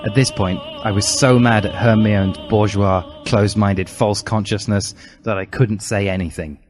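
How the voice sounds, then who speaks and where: Low-voiced man reading dramatically over music. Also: steady tone, moderate pace. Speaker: narrator, reader. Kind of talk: dramatic reading of a comic story. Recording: loud music behind the voice.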